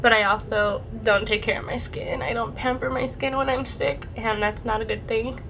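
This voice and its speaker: A young woman vlogger chatting casually through a makeup routine, her voice a bit raspy and her nose congested from a cold. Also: on the high side, fairly even intonation, moderate pace, background noise. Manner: chatty, rambling, lots of "like" and "super". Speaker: beauty vlogger. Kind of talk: casual makeup tutorial chat.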